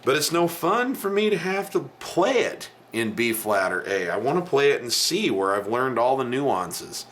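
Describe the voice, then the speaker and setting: A middle-aged man with a low voice teaching piano in a casual video lesson, talking bluntly and chatting between the keys he plays. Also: sing-song, normal speed, few pauses, fairly bright tone, slight background noise. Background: piano playing.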